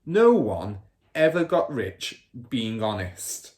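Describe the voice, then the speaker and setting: Man putting on a loud, boastful crooked car dealer. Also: fairly low pitch, big pitch swings, takes it slowly. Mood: sly boasting. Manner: bragging, emphatic, scornful. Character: boastful crooked middle-aged male car dealer, rude, sneering father.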